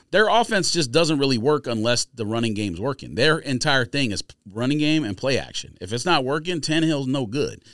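Man, animated intonation, blunt and insistent, casual sports analysis, argumentative, dry studio-like sound. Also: fairly low pitch, clean tone, dry recording. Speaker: podcast co-host.

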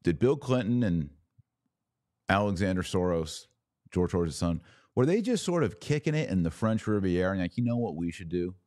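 A man with a very low-pitched voice gives conversational opinion commentary as a podcast host. He is emphatic, leaning on repetition and rhetorical questions with a wry streak. The recording is dry, with hardly any room sound. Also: very animated, moderate pace, darkish tone, no echo. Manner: emphatic, repeats words and asks rhetorical questions.

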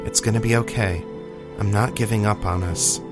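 An earnest young male student voiced by a male narrator, determined yet tender. His low-pitched lines come with a pleading, reassuring sincerity, over music in an audio story. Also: steady tone, moderate pace, very echoey, big-room sound, close to an everyday voice. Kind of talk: audiobook character dialogue.

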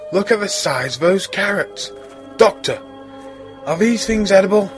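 A man's voice: sits high, lively intonation, measured pace, music in the background. A man playing an astonished, melodramatic rabbit starship captain in a sci-fi parody. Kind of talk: sci-fi parody audio trailer.

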